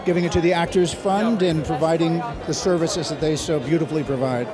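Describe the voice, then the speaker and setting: High-voiced man interviewing on a red carpet. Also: fairly even intonation, fairly quick. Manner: effusive, complimentary. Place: busy event red carpet at a hotel. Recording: music and crowd chatter behind. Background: music, crowd.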